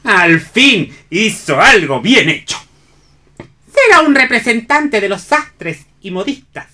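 Una actriz interpreta a una figura que comenta la acción con ironía, en tono teatral de radio. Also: female voice, fairly high pitch, expressive, takes it slowly, sharp tone, exaggerated voice. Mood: wry. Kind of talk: theatrical radio play reading.

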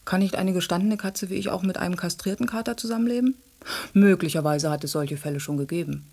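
An actress playing a self-possessed, comfort-loving female cat in a radio drama, musing in a genteel, theatrical way with a husky, breathy voice. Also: lowish voice, quick pace, warm tone. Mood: musing, cosy.

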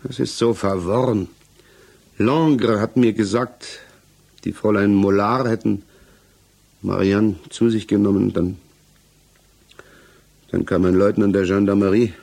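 An actor in a 1960s radio crime drama playing a bewildered, distraught husband under accusation, pleading haltingly. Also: male voice, fairly low pitch, steady tone, vocal fry, darkish tone. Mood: dazed, confused. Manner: halting, pleading, repeats himself.

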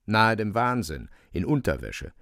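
A man's voice: fairly low pitch, very animated, unhurried, fairly bright tone, dry recording, clean studio sound. A man narrating a literary radio drama in a scripted storytelling style.

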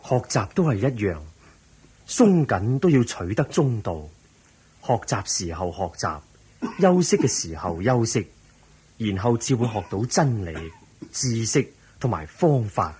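Deep-voiced, gentle Buddha teacher. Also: male voice, big pitch swings, slightly rough, exaggerated voice. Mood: instructive, soothing. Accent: Hong Kong Cantonese. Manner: measured, gently instructive. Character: Buddha, calm, patient, kindly male teacher. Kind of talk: radio drama acting.

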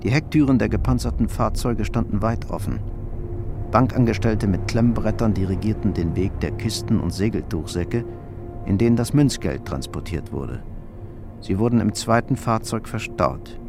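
A male narrator reads a crime story for a radio play. His voice sits low with a gravelly creak. The delivery is scripted storytelling, with music running underneath. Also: moderate pace.

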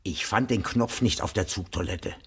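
An actor playing an awkward, defensive mailman in a naturalistic radio play, halting and understated. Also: deep voice, breathy edge, full character voice. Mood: embarrassed, defensive. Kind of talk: naturalistic German radio drama acting.

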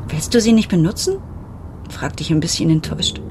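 An actress playing a reflective woman who narrates her family's story in a literary radio drama, in measured phrases. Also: on the low side, lively intonation, background noise, close to an everyday voice. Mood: reminiscing. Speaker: first-person narrator.

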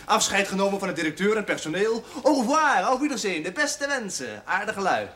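A man's voice: high voice, very animated, brisk, sharp tone, heavily acted. A man playing an insolent young penitent fresh out of prison in a television drama, mocking and provocative.

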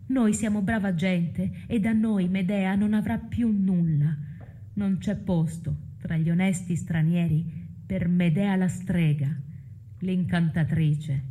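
An actress playing the chorus of self-righteous, upright townspeople, speaking in a measured, solemn, insistent way in a radio drama. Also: female voice, fairly low pitch, steady tone, mellow. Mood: stern, self-righteous.

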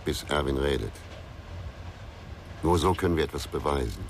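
Gruff investigator, extremely low gravelly voice, brooding and terse, radio crime drama with some background noise. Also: male voice, normal speed. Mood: terse, insistent. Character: gruff, brooding male investigator, haunted by a lonely childhood.

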